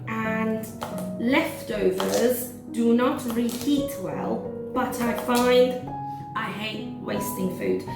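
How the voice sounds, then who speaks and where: A woman running her cooking vlog, a little hoarse, chatting casually with lots of "um" fillers as she demonstrates a recipe at home. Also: a little high. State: slightly hoarse from losing her voice. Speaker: cooking vlogger. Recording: echoey kitchen, mic at a distance, background music.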